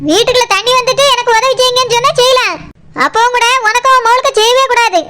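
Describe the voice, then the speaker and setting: A woman acts out a bossy woman house owner from a comic cartoon, in a very high, bright, pitched-up voice. She scolds with irritable sarcasm in a Kanyakumari Tamil accent, over some faint noise. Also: quick pace. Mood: stern, annoyed.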